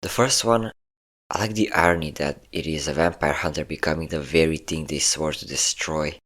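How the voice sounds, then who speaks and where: A man's voice: extremely low pitch, steady tone, moderate pace, fairly bright tone. A man voicing a possessive, sadistic and flirtatious vampire captor in an intimate roleplay audio drama, teasing and commanding. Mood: obsessive, amorous. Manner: teasing, commanding, theatrically smug.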